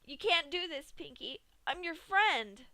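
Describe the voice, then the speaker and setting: A woman's voice: very high-pitched, animated, unhurried, pushed and bright, no echo, pitched above the performer's own voice, full character voice. A woman voicing a brash young female pegasus pony who pleads in panic. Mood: pleading.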